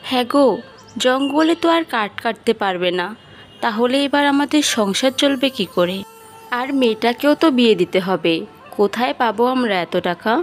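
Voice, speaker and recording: A woman's voice: a little high, animated, normal speed, soft background music, lightly acted. A woman voicing an anxious, practical village wife and mother in a cartoon, persuading and coaxing as she talks. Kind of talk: cartoon story dubbing.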